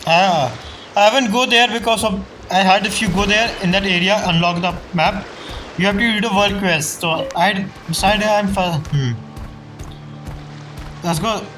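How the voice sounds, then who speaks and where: A man's voice: sits high, moderate pace, poor audio. A man casually commenting over his gameplay in a loose, reactive way, with some grammar slips. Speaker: gameplay streamer. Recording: voice over loud game music.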